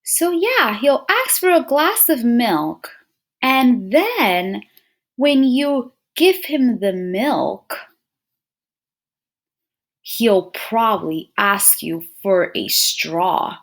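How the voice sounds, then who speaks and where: Animated woman reading aloud to children. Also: on the high side, very slow. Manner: expressive, addresses listeners as friends and scholars, checking in with questions. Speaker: teacher reading a picture book aloud. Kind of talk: children's read-aloud with questions to young listeners.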